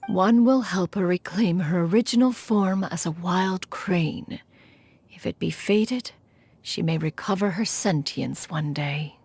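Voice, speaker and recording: Stately crane immortal mentor, formal archaic speech, gravelly and breathy tone, measured delivery. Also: female voice, fairly low pitch, darkish tone. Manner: archaic, refers to herself as "one", measured. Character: aloof formal female crane immortal teacher. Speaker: master to disciples.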